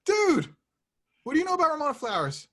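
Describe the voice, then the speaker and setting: Comedy table read: male actor as oblivious, lovestruck young slacker, excitable and slangy, very lively pitch. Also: fast, exaggerated voice. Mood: excited, eager.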